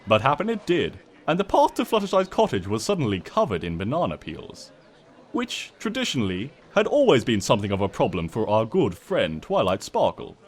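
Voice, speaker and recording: A man's voice: mid-range voice, wildly swooping pitch, a little fast, pitched below the performer's own voice. A man voicing a smug, mock-innocent trickster creature who narrates a comic storybook tale in a pompous, wry, theatrical way.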